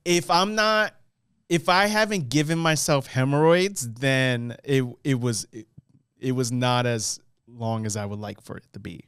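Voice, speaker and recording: A man's voice: medium pitch, a little slow, a bit bright, clean tone, no echo, clean studio sound. A man co-hosting a podcast in casual comedic chat, joking and laughing often. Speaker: podcast co-host.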